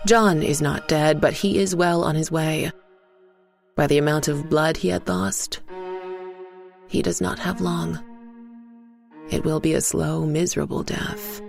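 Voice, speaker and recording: Woman telling a dark story, grave and deliberate, creaky lowish voice, faint music under it. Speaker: story narrator. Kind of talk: first-person dark fairy tale narration.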